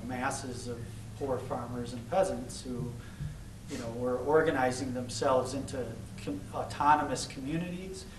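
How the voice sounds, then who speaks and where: A man's voice: medium pitch, recorded from a distance, background noise. Chicago-accented man lecturing in a roomy hall. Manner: conversational, many "you know" fillers. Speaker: guest lecturer. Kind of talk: informal lecture and Q&A. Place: large lecture room, indoors. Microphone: far camera, podium mic.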